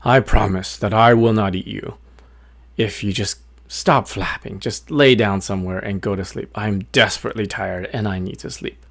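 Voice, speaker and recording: A man voicing a big, tired, grumpy but soft-hearted wolf in a children's story, with weary grumbling. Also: lowish voice, fairly even intonation, moderate pace, creaky. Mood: weary bargaining.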